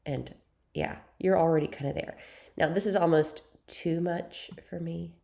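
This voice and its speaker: A young woman hosts an editing tutorial, her voice raspy and stuffed up from a cold. She speaks in a low, dark tone with a gravelly edge. Her delivery is chatty and self-deprecating, with plenty of ums between steps. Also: measured pace. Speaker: photography tutorial host. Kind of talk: screen-recorded software tutorial.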